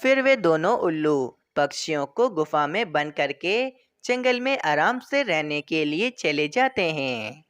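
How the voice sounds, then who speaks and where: A woman's voice: low-pitched, sing-song, a little slow, hardly any pauses, no echo. A woman narrating a children's cartoon story.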